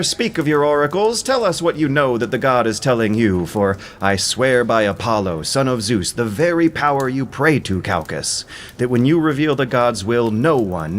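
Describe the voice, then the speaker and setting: A resolute warrior hero played by a man in an epic audio drama. He declaims earnestly, his pitch lifted well over the performer's usual narration, with faint ambient noise underneath. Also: lightly acted. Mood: resolute, reassuring. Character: bold, swift-footed young warrior hero.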